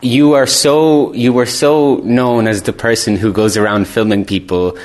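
A man from Italy who grew up in Ireland, hosting a festival radio interview, gently prompting his guest and summarizing answers back. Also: mid-range voice, steady tone, unhurried. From born in Italy, raised in Ireland.

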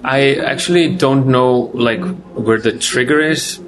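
A man from Iceland with an Icelandic accent, a film director giving festival interview answers in a halting way, searching for words and often restarting. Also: medium pitch, noisy background.

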